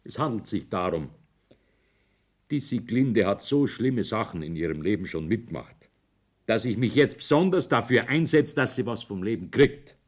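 An actor playing a pushy older businessman and insistent father with a husky voice and a Bavarian accent in a radio drama. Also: fairly low pitch, lively intonation, normal speed. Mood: insistent, defensive. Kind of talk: naturalistic radio drama acting.

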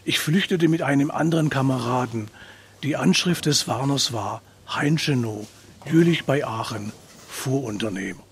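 A man's voice: medium pitch, moderate pace, a little hiss or hum. A man giving a scripted reading of a first-person testimony. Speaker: reader of testimony.

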